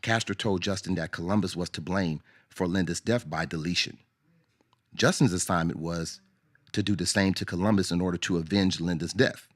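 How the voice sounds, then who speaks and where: Very deep male radio drama narrator. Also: fairly even intonation, moderate pace. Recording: narration over music. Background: music.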